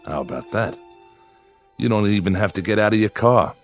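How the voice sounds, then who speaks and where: A low male voice with wide, expressive swings, an actor playing a lonely, yearning man in a radio drama. He is devoted to his machine companion and quick to defend that life. Faint music sits behind him. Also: natural voice. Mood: tender, eager. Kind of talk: dramatic radio acting with confessional narration.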